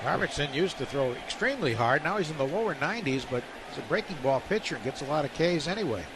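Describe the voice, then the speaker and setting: Over clear stadium noise, a man gives baseball color commentary on live radio. He talks quickly, in a slightly high register. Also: expressive. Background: outdoor ballpark ambience.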